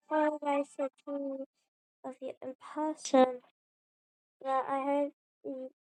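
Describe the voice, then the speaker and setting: Slow, high-voiced woman reading aloud. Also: a little mellow, no room sound, low-quality recording. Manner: halting, stumbling read-aloud with self-corrections. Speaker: streamer playing and voicing a video game. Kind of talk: reading game dialogue aloud.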